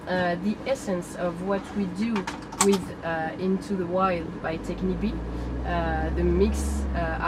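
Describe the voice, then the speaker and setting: A woman hosting an informal interview inside a van, speaking hesitantly with pauses mid-sentence. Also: fairly low pitch. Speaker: interviewer, host. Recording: clear vehicle and ventilation hum.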